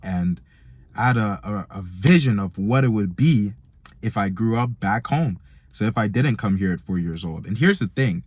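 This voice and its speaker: Deep-voiced young American man, slangy vlogger. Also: animated, normal speed, darkish tone, slightly rough, no room sound. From Nigerian-born, grew up in America from age four. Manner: slangy, direct address to young men, "bro" and "man". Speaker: motivational vlogger. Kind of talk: casual motivational vlog monologue.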